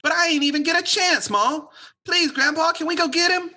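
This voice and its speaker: A woman's voice: very high-pitched, brisk, pushed and bright. A woman playing an eager, pleading young country boy with a Southern US accent in an audio drama. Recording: noisy, with clicks.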